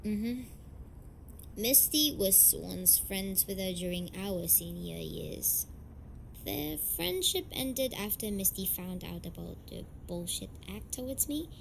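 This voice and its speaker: A young woman playing a blunt, defensive tsundere delinquent girlfriend in an amateur romantic audio roleplay, speaking haltingly and swearing. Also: lowish voice, vocal fry, a bit bright, slight background noise, heavily acted, poor audio. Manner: hesitant, halting, foul-mouthed, defensive.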